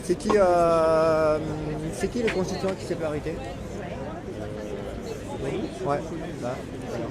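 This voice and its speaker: A man's voice: on the high side, slow, a loud crowd. Man interviewing at a noisy street protest. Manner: supportive, hesitant, restarts a lot. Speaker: livestream reporter. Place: outdoor street protest in a city.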